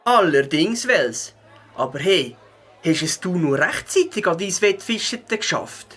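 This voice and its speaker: A man plays a friendly young bike hero in a children's audio story. His voice is high and bright, a little husky, with an eager, encouraging manner and a Swiss accent. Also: sing-song, a little slow, full character voice. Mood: admiring. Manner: enthusiastic, encouraging. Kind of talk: children's audio drama acting.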